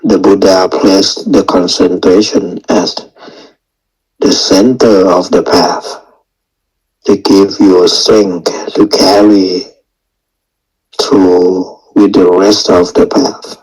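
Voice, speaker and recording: Man leading a meditation, really slow w long gaps, low husky voice, non-native english phrasing. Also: level tone, a little mellow, rough recording. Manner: halting, short phrases with long pauses. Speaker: meditation teacher. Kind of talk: guided meditation and dharma talk.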